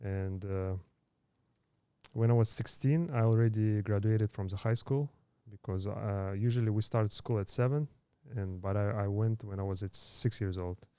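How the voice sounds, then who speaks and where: Guy in his 30s from kazakhstan, kinda low smooth voice, stops and restarts a lot, dry close mic. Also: steady tone, mellow, no room sound. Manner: halting, many fillers and restarts. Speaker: podcast guest. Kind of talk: casual interview storytelling.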